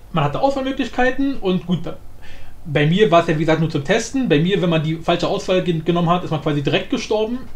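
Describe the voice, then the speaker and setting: Guy doing a casual tutorial, high voice, really up and down, keeps repeating words, echoey room. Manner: halting, repeats words, frequent tag questions. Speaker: tutorial host. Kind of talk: informal explanatory screencast tutorial. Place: indoor room, screen-recording voice-over. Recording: roomy, echoing home recording.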